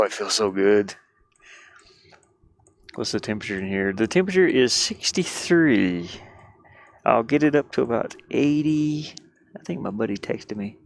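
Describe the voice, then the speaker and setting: A man with a low, creaky voice talks off the cuff while he works on a livestream. He rambles and thinks aloud in a casual way. A faint hum of a heater sits behind him inside a van. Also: moderate pace, long pauses. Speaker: game developer livestreamer. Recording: background noise from diesel heater fan. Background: heater hum and clicking.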